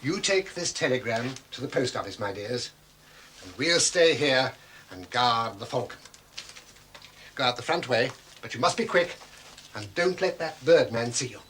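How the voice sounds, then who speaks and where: An actor playing a scheming shopkeeper who talks down to children in a patronising, smooth way. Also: male voice, medium pitch, animated, moderate pace, fairly bright tone, a little hiss or hum, close to an everyday voice. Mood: coaxing. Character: scheming shopkeeper man feigning kindness. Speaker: villain.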